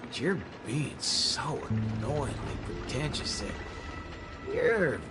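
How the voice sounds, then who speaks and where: A man's voice: mid-range voice, very animated, very slow. An actor playing a blunt, sarcastic young male fighter in a game cutscene. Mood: annoyed. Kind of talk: dubbed game cutscene dialogue. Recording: voice over loud music.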